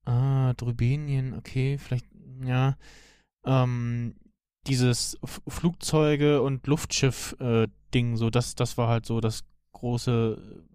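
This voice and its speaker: A man co-hosting a podcast, chatting casually and haltingly as he searches for words. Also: medium pitch, steady tone, darkish tone, clear, resonant tone, no room sound, clean studio sound. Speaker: podcast co-host. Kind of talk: casual chat about cartoons.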